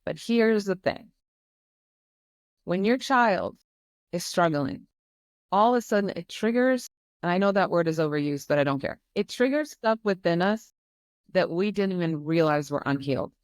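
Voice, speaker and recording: An earnest woman in her forties from Croatia speaks as a podcast guest in a fairly low voice. She tells a hard personal story with emphasis and repetition. The recording is dry and close, with no room around it. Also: expressive, moderate pace, long pauses, dry recording.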